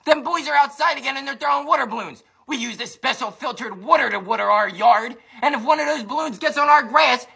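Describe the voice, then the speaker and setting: A woman's voice: high voice, fairly quick, creaky, hardly any pauses, a bit bright, slightly rough, full character voice. A woman voicing a cranky, fussy neighbor woman in a comedy skit, hollering indignantly.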